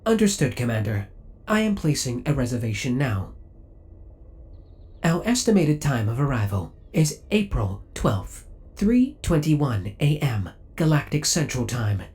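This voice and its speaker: A man's voice: mid-range voice, very animated, measured pace, a little mellow. A man playing a possessive, coolly obsessive male spaceship AI in an ASMR audio roleplay, speaking with formal, precise, robotic politeness. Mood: resigned, jealous.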